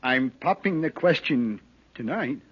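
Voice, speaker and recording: A man's voice: mid-range voice, animated, unhurried, slightly rough. An actor playing a meek, kindly, slightly peculiar town handyman in a 1950s radio western, bashful and polite, earnestly repeating himself. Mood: shyly excited. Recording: muffled 1950s radio recording.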